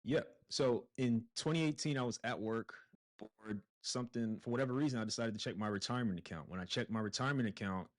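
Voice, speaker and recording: A man's voice: medium pitch, fast talker. A man from Brooklyn, a podcast guest telling a story in a casual, conversational way with some fillers and restarts. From Brooklyn, New York. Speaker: podcast guest, real estate investor. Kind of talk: casual interview chat.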